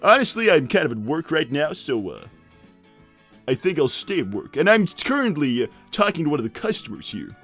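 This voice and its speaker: A man plays a short, crude cartoon hedgehog dad in improvised livestream banter. The voice is a little high and very lively in pitch, with halting, repetitive, matter-of-fact oversharing. Faint music plays behind it. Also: full character voice. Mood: casual, smug.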